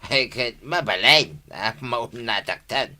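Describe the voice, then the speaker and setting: A man's voice: medium pitch, expressive, slow pace, sharp tone, full character voice. A man with an Ilocano accent playing a stubborn, whining village drunkard in a comedy radio drama, drawling his complaints. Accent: Ilocano, northern Philippines.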